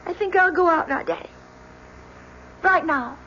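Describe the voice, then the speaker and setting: High-voiced anguished daughter, old radio. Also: female voice, normal speed, bright, forceful tone. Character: bitter, anguished young woman, professor's daughter. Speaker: actor in a radio drama. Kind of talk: old-time radio acting. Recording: muffled, noisy old radio recording.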